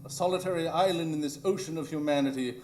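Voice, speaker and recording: An actor playing a brilliant, brooding detective in a live radio drama, speaking in an intense, theatrical way. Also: male voice, a little high, fast, a little mellow, reverberant, faint music behind, natural voice. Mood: anguished, resentful.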